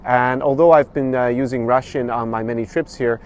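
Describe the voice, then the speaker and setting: A man's voice: medium pitch, steady tone, normal speed. Hesitant man vlogging outdoors by traffic. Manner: hesitant, many fillers and restarts. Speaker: travel and language vlogger. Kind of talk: casual spoken review to camera. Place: outdoors in a city street by a statue.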